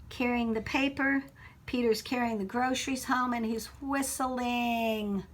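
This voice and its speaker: A woman reading a story aloud to children like a teacher, expressive, with explanatory asides and questions for young listeners. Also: fairly high pitch. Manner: expressive, child-directed, pausing to ask questions.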